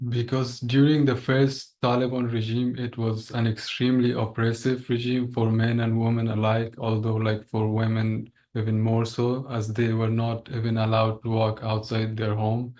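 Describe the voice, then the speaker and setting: Earnest young man, flat steady voice. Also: mid-range voice, low-quality recording. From Afghanistan. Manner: earnest, halting with restarts. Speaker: guest speaker. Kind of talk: webinar talk.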